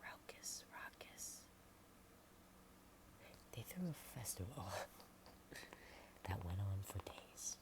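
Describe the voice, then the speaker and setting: A woman reading a storybook in a soft whisper, adding chatty asides and sometimes stumbling over words. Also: a bass voice, sing-song, slow pace, pauses often.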